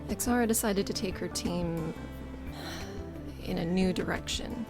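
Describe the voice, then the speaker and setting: Deadpan bookish space biker played by a woman. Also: lowish voice, unhurried, gravelly, faint music behind. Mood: bluntly dry. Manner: deadpan, precise. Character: bookish, literal-minded, blunt young space biker. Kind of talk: audio drama acting.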